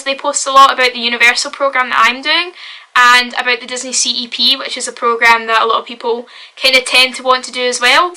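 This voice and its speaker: A woman's voice: high-pitched, pushed and bright. A young woman with a Glasgow accent chatting casually and cheerfully to camera in her bedroom, full of "like" and "wee.". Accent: Glaswegian. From Scotland. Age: young adult. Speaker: vlogger. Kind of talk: casual vlog chat.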